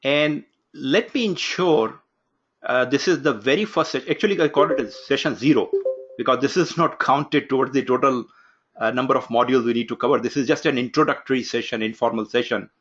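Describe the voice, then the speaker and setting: A man opening an online course from his home office, giving instructions briskly and calling on participants one by one. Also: medium pitch, a bit bright, breathy edge, lo-fi sound. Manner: brisk, instructive, takes roll call. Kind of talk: live online class introduction. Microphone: video call.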